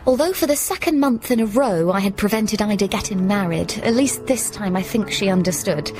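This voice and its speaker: An actress playing a plucky, puzzled heroine who narrates a surreal comic radio drama in a wry, earnest way. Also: female voice, mid-range voice, brisk, lightly acted. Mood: wry, reflective. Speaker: lead actor and narrator. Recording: music behind the voice.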